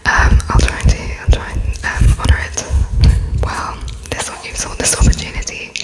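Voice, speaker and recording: A woman whispering softly as an interviewer in an ASMR roleplay, with a gentle, polite, halting manner, as if speaking while taking notes. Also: poor audio. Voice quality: breathy, unvoiced whisper. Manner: hesitant, halting, dictating slowly as she writes notes. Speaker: ASMR performer playing an interviewer.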